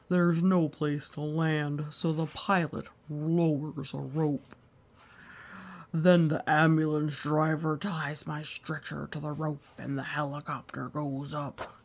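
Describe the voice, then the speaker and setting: Storybook walrus, male, gloomy and worried played by a woman: low pitch, dragging delivery. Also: flat delivery, a little mellow, full character voice. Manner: slow, dramatic, dwelling on each misfortune. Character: anxious, gloomy male walrus, imagining disasters. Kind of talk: storybook read-aloud character voice.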